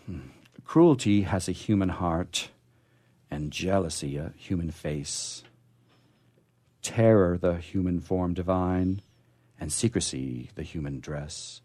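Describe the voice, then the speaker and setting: A man with a very low voice presents poetry on a radio program. His verse reading is measured and solemn, and his commentary around it is informal and conversational. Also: warm tone. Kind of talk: poetry recitation with casual commentary.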